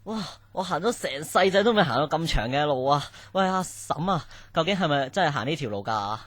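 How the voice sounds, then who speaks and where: A man's voice: on the high side, expressive, brisk, fairly bright tone. A man acting a reluctant young student in a Cantonese radio drama, whiny and complaining.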